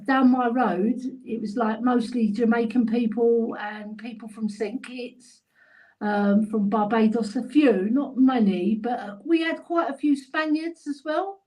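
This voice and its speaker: A woman's voice: fairly high pitch, a little slow, mellow, rough recording. A middle-aged woman with a London accent, chatting casually and telling anecdotes. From London, England. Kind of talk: casual chat.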